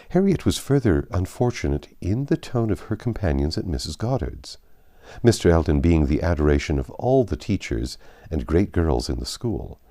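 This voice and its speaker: Deep, mellow man narrating a classic novel. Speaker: audiobook narrator.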